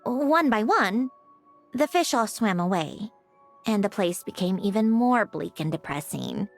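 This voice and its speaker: A woman's voice: medium pitch, animated, unhurried, soft background music. A woman playing a melancholy, guarded young woman in a video game, wry and resigned, with sighs and a weariness of loss. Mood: wistful. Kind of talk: dramatic video game voice acting.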